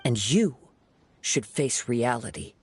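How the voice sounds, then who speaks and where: A man voicing a calm, principled young wandering swordsman in a video game, speaking in a composed, earnest, eloquent way. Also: mid-range voice, takes it slowly, slight background noise. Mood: stern, reproachful. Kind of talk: dramatic video game acting.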